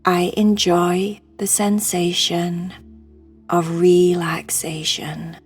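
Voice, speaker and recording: A woman guiding a sleep meditation in a hushed, soothing, breathy voice that often nears a whisper. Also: on the low side, flat delivery, very slow, soft background music. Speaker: meditation guide.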